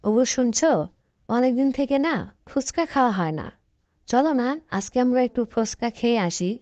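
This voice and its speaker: Young fox wife for a cartoon, female performer: chatty, coaxing, high voice, dry sound. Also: expressive, moderate pace, mellow, no room sound, highs cut off, close to an everyday voice. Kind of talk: cartoon story acting.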